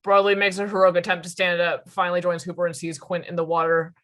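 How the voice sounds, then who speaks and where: A woman's voice: on the low side, flat delivery, fast talker, a bit bright. A woman reading stage directions as scripted narration at a table read.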